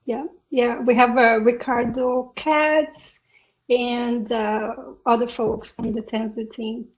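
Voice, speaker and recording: A woman from Brazil with a Brazilian Portuguese accent, a guest on a tech podcast who speaks in a halting way and often pauses to find her words. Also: on the high side, level tone, highs cut off. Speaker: podcast guest, software engineer. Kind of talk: casual interview talk.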